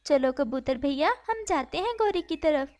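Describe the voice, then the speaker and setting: A woman voicing a kind, caring female sparrow in a cartoon story, with a gentle, earnest, motherly manner. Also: high voice, lively intonation, normal speed. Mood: worried. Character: kind, caring female sparrow who adopts orphaned chicks. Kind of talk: Hindi cartoon story acting.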